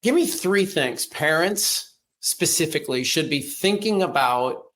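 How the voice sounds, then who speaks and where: A man hosting a podcast interview, eager and quick to chime in with short affirmations. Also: on the high side, animated, a bit bright. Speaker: podcast host.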